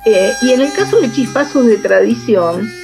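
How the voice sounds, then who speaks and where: A woman's voice: fairly high pitch, lively intonation, moderate pace, gravelly, loud music behind the voice. An Argentine woman speaking reflectively as an interviewed author and researcher, often checking in with short rhetorical tags.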